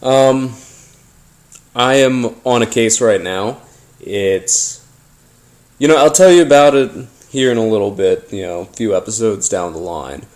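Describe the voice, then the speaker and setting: A man hosting a paranormal podcast, telling his story informally in a halting, rambling, conspiratorial way. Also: fairly low pitch, lively intonation, darkish tone. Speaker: podcast host. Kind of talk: informal first-person storytelling monologue, paranormal audio drama.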